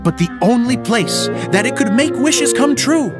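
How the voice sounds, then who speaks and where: Theatrical, swooping fairy-tale narrator. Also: male voice, very high-pitched, moderate pace, over loud music. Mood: storytelling. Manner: theatrical, expressive. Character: theatrical storybook narrator, gentle and earnest. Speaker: narrator of a play.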